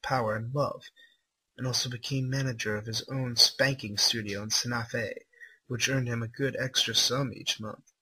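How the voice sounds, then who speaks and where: Bright, husky-voiced man reading aloud. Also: mid-range voice, low-quality recording. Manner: incredulous asides, breaks into laughter. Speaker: livestream host reading a fan story aloud. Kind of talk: live dramatic reading with mocking commentary.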